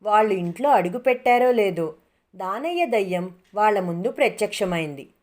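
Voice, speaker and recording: A woman's voice: mid-range voice, animated, normal speed. A woman storyteller reading a scripted moral tale as narrator.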